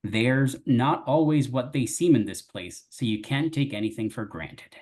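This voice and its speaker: A man doing a chatty little worm, husky. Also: mid-range voice, a little slow, darkish tone, close to an everyday voice. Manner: homely, chatty, rough colloquial speech. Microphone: video call.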